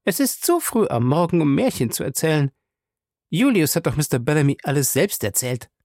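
A man voicing a wary, sharp-tongued woman in an audiobook, curt, indignant and suspicious. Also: a little high, very animated, brisk, dry recording, clean studio sound, pitched above the performer's own voice. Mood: annoyed. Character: wary, sharp-tongued woman guarding her good reputation. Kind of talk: audiobook character dialogue.

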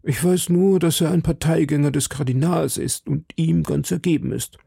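Guy doing a nervous middle-aged landlord for an audiobook, high voice, hesitant and humble, dry sound. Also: moderate pace, gravelly, dark tone, husky, dry recording, heavily acted. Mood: earnest, confiding. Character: timid, deferential middle-aged townsman and landlord, anxious. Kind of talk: audiobook character dialogue.

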